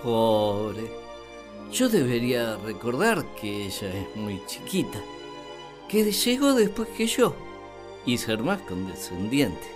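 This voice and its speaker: A woman's voice: very animated, over music, full character voice. A woman playing Adam, a grumpy, naive first man in a radio play, with theatrical, pompous delivery. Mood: condescending. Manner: exclamatory, theatrical, swinging from shrill outbursts to slow pompous musing.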